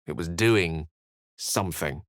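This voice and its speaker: Casting: grave adventurer voicing inner thoughts in a game, very low voice, dry close sound, solemn and dramatic. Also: male voice, takes it slowly, no echo. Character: grave male adventurer narrating his own haunted thoughts. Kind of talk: scripted first-person game narration.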